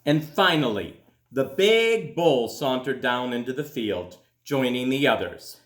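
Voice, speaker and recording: Man, children's storybook read-aloud, slow pace, very animated pitch, dramatic pauses, echoey room. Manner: expressive, dramatic pauses, drawn-out words. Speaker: school principal reading aloud. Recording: roomy echo.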